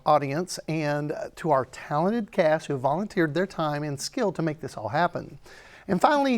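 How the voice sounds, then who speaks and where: A male host delivers scripted closing announcements. His voice sits on the higher side for a man as he reads out the thanks and credits of a show.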